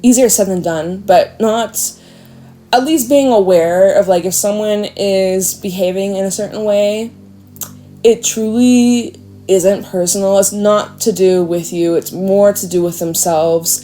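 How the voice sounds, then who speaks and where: A woman with a congested nose giving a casual tarot reading, gently encouraging and asking her viewers question after question. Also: mid-range voice, steady tone, unhurried, soft background music. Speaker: tarot reader.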